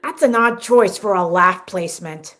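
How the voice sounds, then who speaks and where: A woman's voice: medium pitch, moderate pace, heavy background noise, natural voice. An actress playing an exasperated, sarcastic young woman stuck in a sitcom world, in a comedic radio play. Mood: skeptical, annoyed. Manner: sarcastic, incredulous.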